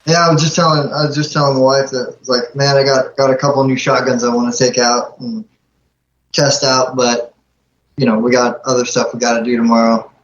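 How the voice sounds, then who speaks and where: A man's voice: medium pitch, flat delivery, fairly quick. A man chatting casually in an easygoing, folksy way. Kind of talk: casual chat. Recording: echoey room, dull muffled sound, faint noise.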